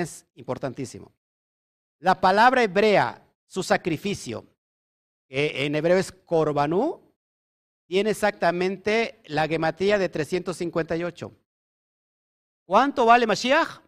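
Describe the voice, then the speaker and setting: High-voiced man preaching emphatically. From Mexico. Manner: emphatic, asks the audience questions. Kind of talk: sermon teaching.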